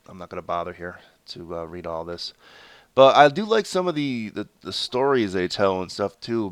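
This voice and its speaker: A man with a low, nasal voice, his head blocked up by a bad cold, rambles through casual gameplay commentary. His tone stays smooth and even despite the congestion. He's recorded on a close desk mic in a dry, dead room. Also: no echo. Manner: rambling, with many fillers and self-corrections. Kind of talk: casual let's play commentary.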